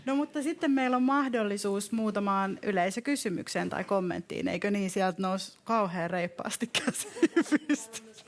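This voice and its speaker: A woman's voice: mid-range voice, brisk. A woman moderating a seminar, light and friendly. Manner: light and friendly, laughs easily.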